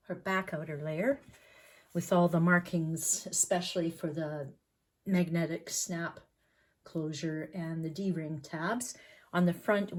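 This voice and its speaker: A middle-aged woman with a slightly low voice talks through a sewing tutorial. Her voice is a little hoarse from a cold, and she explains each step in a chatty, unhurried way with plenty of ums and uhs. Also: a little mellow. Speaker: sewing pattern designer.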